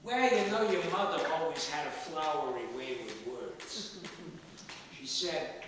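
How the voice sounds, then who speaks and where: Guy playing a feisty old dad in a comedy play, wisecracking, highish voice, far off with lots of background noise. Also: lively intonation, unhurried. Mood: wry, animated. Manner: blunt, wisecracking, defensive. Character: spry, cranky 97-year-old father, newly smitten. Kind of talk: comic one-act stage play dialogue. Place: theatre stage, recorded from a distance. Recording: echoey hall, far mic, noisy.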